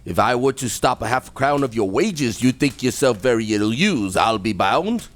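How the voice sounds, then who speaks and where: A man playing a miserly, grumpy, cold-hearted older businessman in a radio play, with a gruff, scornful, curt delivery. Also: medium pitch, expressive, moderate pace, lightly acted. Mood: grumbling, impatient.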